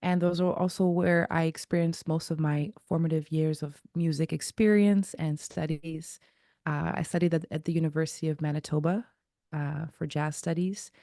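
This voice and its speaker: A woman in her thirties from Zimbabwe, a jazz singer as a guest, telling her story in a reflective, unhurried way. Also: low-pitched, steady tone, dark tone, no echo, studio quality. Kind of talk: casual interview.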